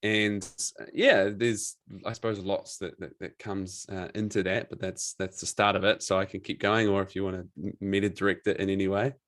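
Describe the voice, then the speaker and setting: New zealand accent, man, frank relaxed talk, lowish voice, dry sound. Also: normal speed, hardly any pauses, no echo. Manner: relaxed, frank, swears casually, many restarts. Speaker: men's coach, podcast guest. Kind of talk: casual interview chat.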